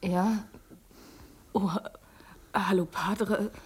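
A woman's voice: mid-range voice, fairly even intonation, extremely slow, a little hiss or hum. A woman playing a distraught, devout young mother in an emotional German radio drama. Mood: subdued. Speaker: actress.

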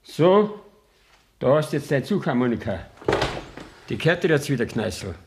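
An actor playing a dry, weary prison official with a Bavarian accent in a radio play, matter-of-fact and gruffly admonishing. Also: male voice, mid-range voice, big pitch swings, moderate pace, background noise. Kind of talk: German radio play acting in dialect.

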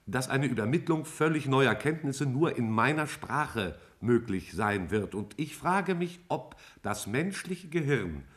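A man playing a vast alien cloud intelligence in a 1950s German radio play, speaking in a formal, measured, didactic way. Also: medium pitch, lively intonation, a bit bright. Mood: didactic, detached.

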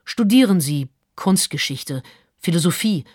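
An actress playing a composed, frank woman who gives firm advice in measured speech in a German radio play. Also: fairly low pitch, lively intonation, a little mellow, a little hiss or hum. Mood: counselling. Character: composed adult female adviser, frank and firm.

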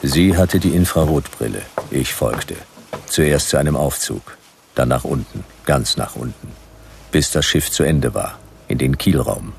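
An actor playing a laconic private detective in a radio play, dry, terse and sardonic, with noir-style narration. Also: male voice, a bass voice, lively intonation, a little slow, gravelly, exaggerated voice. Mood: laconic narrating. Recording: radio play with background noise.

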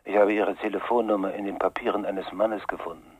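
An enigmatic caller in a radio crime drama. His very deep, husky voice is coolly evasive and musing, recorded close and dry. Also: steady tone, brisk, dry recording. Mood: cryptic, evasive. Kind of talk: 1990s German radio crime drama acting.

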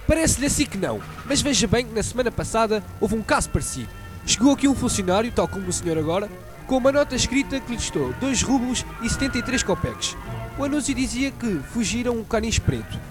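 A man's voice: very high voice, sing-song, fast talker. A man playing a fussy, pompous newspaper clerk in a student radio drama, with music behind.

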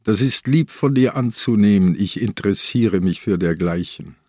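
Old inspector, low and husky, terse, wry, stubborn, speaking from his sickbed in a radio play. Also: animated, dark tone, no echo. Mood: dry, wry. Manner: terse, dry, unhurried. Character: old bedridden police inspector, shrewd, dry, stubborn. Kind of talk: German radio crime drama acting.

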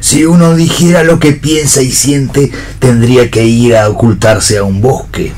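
A man's voice: medium pitch, steady tone, unhurried, darkish tone, soft background music, close to an everyday voice. An actor playing a wry, bossy man in a theatrical radio play reading. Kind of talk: read-theatre stage acting on radio.

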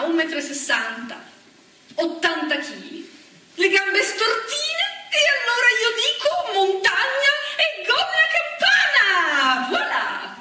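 A woman with a very high, lively voice delivers a mock etiquette lecture on stage, arch and teasing. She speaks slowly and brightly, and the recording has the roomy echo of a theatre heard from a distance. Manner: arch, playful, mocking. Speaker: stage actress. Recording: live stage recording with hall echo from far off.

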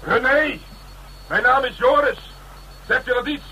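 Tough streetwise cop, high bright voice, blunt, sardonic, radio crime drama, some hiss. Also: male voice, unhurried, exaggerated voice. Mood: hard, urging. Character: tough, taunting male police detective. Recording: noisy old radio drama recording.

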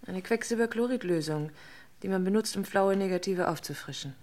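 An actress playing a guarded, subdued wife under questioning in a German radio crime drama, terse, reserved and measured. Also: female voice, mid-range voice, darkish tone, close to an everyday voice. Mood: cool, reserved.

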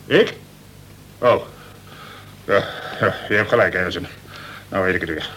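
An actor playing a worried, blustering prison governor, a cautious and officious colonel, in a Dutch radio play. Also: male voice, deep voice, measured pace, a bit bright, rough recording. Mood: exasperated. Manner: exasperated, swearing, officious.

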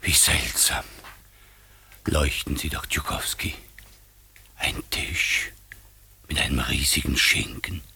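A man playing a flustered, blustering middle-aged examining magistrate in a 1950s German radio play, with a husky voice and hesitant manner. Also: a bass voice, slow pace, pauses often, pushed and bright, whispered, exaggerated voice. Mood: uneasy. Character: flustered, grumbling older male examining magistrate. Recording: 1950s radio drama recording.

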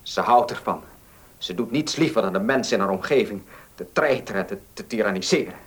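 An actor playing a headstrong, impatient young heir in a radio drama, speaking emphatically and stubbornly. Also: male voice, on the low side, lively intonation, brisk, slightly rough. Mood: frustrated, indignant. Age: young adult. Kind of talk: Dutch radio drama acting.

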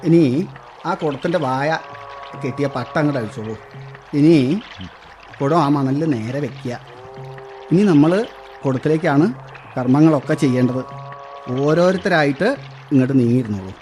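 Man acting brisk, mellow-voiced officiating priest. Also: mid-range voice, soft background music, close to an everyday voice. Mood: instructing. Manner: brisk, instructing, matter-of-fact. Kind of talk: radio drama acting.

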